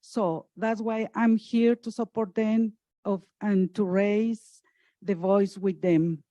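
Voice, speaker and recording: A woman from Mexico City with a Mexican Spanish accent, a native Spanish speaker introducing herself haltingly as a community connector at a council meeting. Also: medium pitch, fairly even intonation, dark tone, no room sound, highs cut off. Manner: halting, searching for words.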